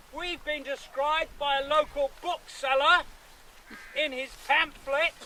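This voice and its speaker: Man acting as high-pitched, slow, defiant country lawyer. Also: bright, forceful tone, exaggerated voice, low-quality recording. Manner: declamatory, deliberate, with emphatic pauses. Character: defiant 17th-century country lawyer rallying his armed neighbours. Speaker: historical reenactor. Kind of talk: reenacted historical public speech. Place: outdoors at a rural gathering. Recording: outdoor recording with background noise.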